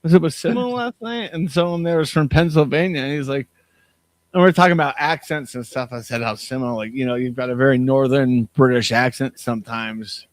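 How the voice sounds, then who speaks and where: A man's voice: mid-range voice, a little fast, no echo. A man with a Midwestern accent co-hosting a casual beer chat, telling stories and laughing easily. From Midwestern United States. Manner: chatty storyteller, laughs often. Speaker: beer show co-host.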